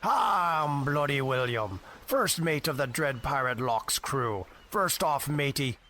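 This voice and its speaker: A gruff, scheming first mate of a pirate crew, acted by a man, speaks in a hearty pirate accent full of slang. It is amateur audio drama with faint noise behind the voice. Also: medium pitch, animated, slow pace, bright, forceful tone. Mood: hearty, sly. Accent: put-on pirate.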